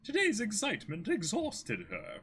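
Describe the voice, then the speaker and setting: Guy doing chirpy polite servant voice, way up high, lively, manga read-aloud. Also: normal speed, husky, full character voice. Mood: cheerful, admiring.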